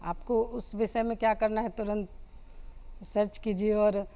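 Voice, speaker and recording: A woman giving unscripted interview answers as a guest, in a hesitant, halting manner. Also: medium pitch, little pitch movement, dark tone. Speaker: guest folk singer.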